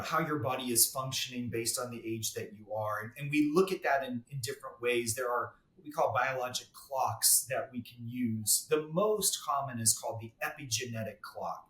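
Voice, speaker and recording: This is a man at a mid pitch, a dermatologist who guests on a podcast. He explains medical ideas in a conversational way and often restarts with a repeated word. The recording has a noticeable roomy echo. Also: normal speed, few pauses, fairly bright tone. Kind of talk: podcast interview.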